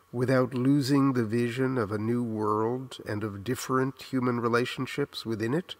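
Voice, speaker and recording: A man in his sixties with an American accent, reading a reflective scripted memoir in a measured, literary, earnest way. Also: mid-range voice. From Los Angeles, United States. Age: 60s. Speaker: memoir narrator.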